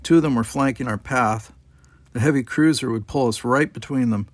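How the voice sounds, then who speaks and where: Medium-pitched man narrating dramatically, dry sound. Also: a little fast, no echo. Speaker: audiobook narrator. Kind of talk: dramatic first-person scripted narration.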